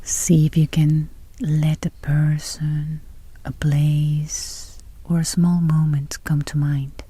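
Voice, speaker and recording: A woman guiding a meditation in a soft, breathy near-whisper, speaking gently and soothingly. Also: low voice, little pitch movement, slow, no room sound. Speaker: meditation guide. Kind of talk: guided meditation.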